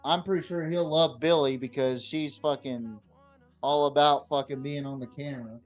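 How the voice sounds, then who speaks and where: A man hosting a casual, unscripted sports podcast, swearing freely and speaking bluntly in slangy, halting phrases that often repeat. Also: mid-range voice, fairly even intonation, soft background music. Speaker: podcast host.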